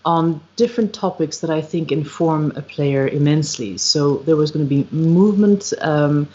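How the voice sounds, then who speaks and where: A woman with an Irish accent, a musician being interviewed on a podcast, speaking reflectively and searching for her words with frequent pauses and ums. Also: low voice, warm tone. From Ireland. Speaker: guest, musician and retreat founder. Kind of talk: podcast interview, thoughtful conversation. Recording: slightly dull, lo-fi livestream audio.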